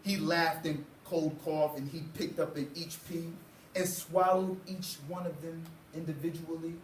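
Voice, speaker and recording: A young man reading a children's story aloud to a class with expressive, dramatic phrasing, as a student teacher. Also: a little high, a little slow. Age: young adult. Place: indoor school classroom. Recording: roomy, distant mic, faint noise.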